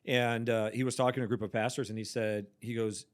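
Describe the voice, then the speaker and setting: A man in his forties hosting a church podcast, chatting casually with quick asides and easy laughs. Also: medium pitch, flat delivery, clear, resonant tone. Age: 40s. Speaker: podcast host, pastor. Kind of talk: casual chat.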